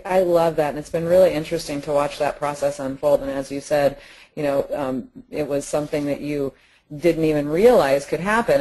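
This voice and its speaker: A woman's voice: low voice, darkish tone, slightly rough, low-quality recording. A woman hosting a business conference call, affirming and supportive as she interviews.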